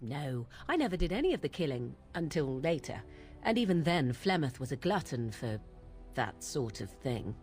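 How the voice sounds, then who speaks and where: Young wilds witch, sardonic, husky low voice, lively intonation, theatrical archaic phrasing, fantasy game dialogue. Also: normal speed, faint music behind. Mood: cool, reflective. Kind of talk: video game dialogue acting.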